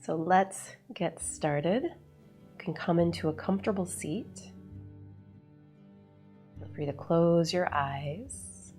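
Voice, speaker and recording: A woman leading a guided meditation in a soothing, unhurried way, with measured phrases and long pauses. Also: lowish voice, darkish tone. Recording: voice over background music. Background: background music.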